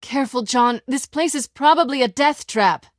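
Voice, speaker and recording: An American woman playing a sly, guarded cat-burglar in a video game, teasing and coolly wry, with a husky, breathy voice. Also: sits high, normal speed, dry recording, clean studio sound, close to an everyday voice. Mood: urgent, alarmed.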